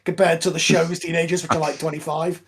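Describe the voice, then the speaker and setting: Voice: mid-range voice, little pitch movement, fast talker, breathy edge. A person chatting casually as a panelist on a film commentary.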